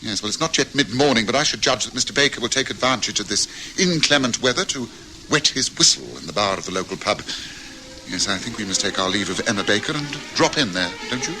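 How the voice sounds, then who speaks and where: An actor playing a shrewd, courteous gentleman detective, speaking formally and deliberately in a radio drama. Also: on the low side, animated, background noise, lightly acted. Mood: intrigued. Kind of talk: old-time radio drama acting.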